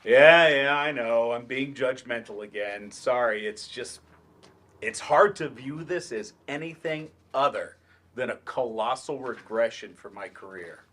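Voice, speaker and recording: A man's voice: mid-range voice, measured pace, a bit bright, a little hiss or hum, lightly acted. A man reading the part of a dejected, sarcastic writer turned teacher in a staged play, dry and wordy. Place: library event room, staged reading.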